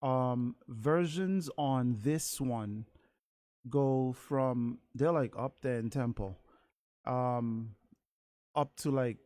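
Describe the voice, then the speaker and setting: A man's voice: medium pitch, very slow, a little mellow, no room sound. Hesitant, candid male singer guest. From Saint Thomas. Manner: candid, hesitant with many fillers. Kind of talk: casual interview chat. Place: radio studio.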